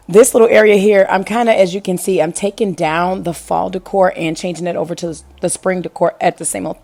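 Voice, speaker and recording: A woman in her thirties with a hoarse, gravelly voice from being sick, chatting casually over her home decorating video and asking viewers what they think. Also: on the low side, a little mellow. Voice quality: gravelly, husky. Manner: chatty, rambling, asks viewers questions. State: hoarse from illness.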